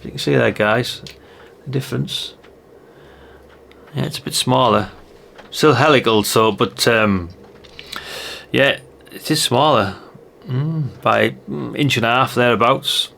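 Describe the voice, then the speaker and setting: A man's voice: medium pitch, a little slow, gravelly, long pauses, a bit bright. An older man chatting in a rambling way, thinking aloud through a casual hands-on product review. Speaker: radio hobbyist reviewer.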